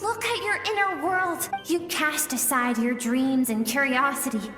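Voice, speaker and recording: Casting: tender, earnest inner-self spirit of a young woman, game drama, high voice in long reverb. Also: unhurried. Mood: urgent, insistent. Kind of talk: scripted video game voice acting. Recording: echoey long reverb, music behind.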